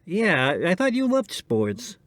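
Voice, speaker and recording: A man's voice: high-pitched, wildly swooping pitch, a little slow, fairly bright tone. A man hosting a radio show in scripted comedic banter, playing the polite, slightly hesitant straight man.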